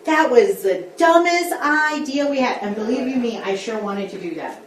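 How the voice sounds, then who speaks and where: A woman's voice: fairly high pitch, lively intonation, moderate pace, slight background noise. A woman school board member speaking candidly, coaching colleagues through a group workshop in a meeting room. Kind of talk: workshop discussion. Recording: echoey room, distant mic.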